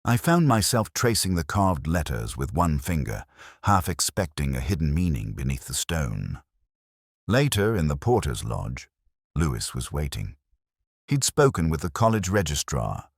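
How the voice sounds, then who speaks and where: A man narrating a first-person detective story in a measured, literary, reflective way. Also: very deep voice, no echo, studio quality.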